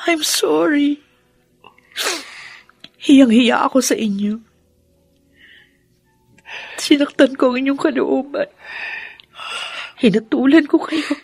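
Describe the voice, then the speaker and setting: A woman's voice: high-pitched, slow, creaky, pauses often, a little mellow, no room sound, full character voice. A woman playing a tender, remorseful young married daughter-in-law in a Filipino radio drama. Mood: tearful remorse.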